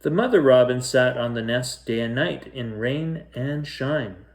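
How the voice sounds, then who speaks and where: A man's voice: medium pitch, expressive, measured pace. A man narrating a children's storybook as a bedtime reader, telling it phrase by phrase.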